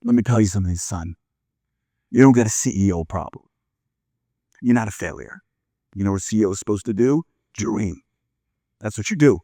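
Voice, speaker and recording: A man acts out an elderly, self-assured investor from a spoken story. He is fatherly and plainspoken, moving briskly through advice and offers. The sound is close and dry. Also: fairly low pitch, dark tone, no room sound. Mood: firm, paternal. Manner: plainspoken, paternal, deal-making.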